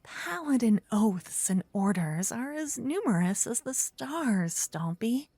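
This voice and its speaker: A bashful, earnest young paladin acted by a woman speaks in a fantasy ASMR roleplay. Her voice is very soft, breathy and warm, with an unhurried, affectionate delivery. It is recorded close and dry, with light music behind it. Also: on the high side, very animated, dry recording, heavily acted. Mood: earnest, hushed. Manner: soft, breathy, gently flustered, slightly halting. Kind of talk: scripted ASMR roleplay addressed to the listener.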